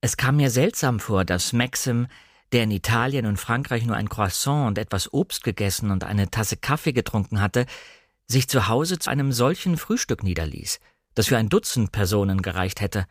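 A man reading a novel aloud as a shy, insecure young woman recalling her memories in an earnest, measured literary delivery. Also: on the low side, animated, no echo, clean studio sound. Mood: wondering, uneasy. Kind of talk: first-person audiobook narration of a novel.

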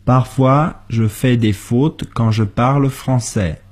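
A husky male voice, speaking very slowly and deliberately. He says each practice sentence for learners, then repeats it word for word. The pace is unhurried, like a drill on a radio lesson. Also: mid-range voice, darkish tone. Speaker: co-host of a language lesson. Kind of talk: slow model sentences for learners.

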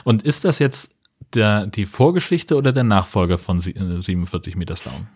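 A man's voice: fairly low pitch, a little fast, a little mellow, slightly rough. A man chatting casually as a podcast co-host. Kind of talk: casual chat.

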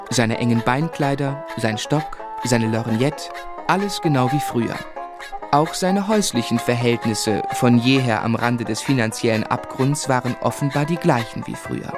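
An actor playing a sentimental, wry young gentleman who narrates his own life in a German radio drama. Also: medium pitch, lightly acted. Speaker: first-person narrator.

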